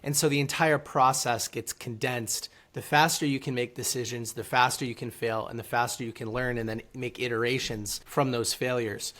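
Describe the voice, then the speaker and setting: Man, interview guest, average pitch, measured, articulate, casual explanatory answers. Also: steady tone, fairly bright tone. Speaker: interview guest, author and entrepreneur. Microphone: speaking over a video call.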